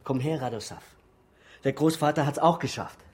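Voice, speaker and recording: An actor playing a desperate, jobless man in a radio drama, with a husky, raspy voice. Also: mid-range voice, normal speed, a little mellow, lightly acted. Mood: urgent, pleading. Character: desperate, jobless father pleading for help.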